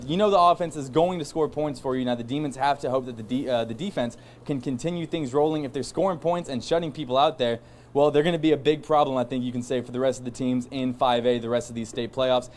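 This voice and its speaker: A man's voice: fairly high pitch, a little mellow. An American man giving a live sports report from outdoors. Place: outdoors under a covering at a game site. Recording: faint outdoor background noise.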